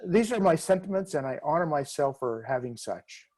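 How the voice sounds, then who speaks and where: An actor playing a conceited young gentleman, speaking haughtily and dwelling on his words in a dramatic reading over a video call. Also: on the high side, lively intonation, moderate pace. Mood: haughty. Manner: pompous and haughty, lingering on words.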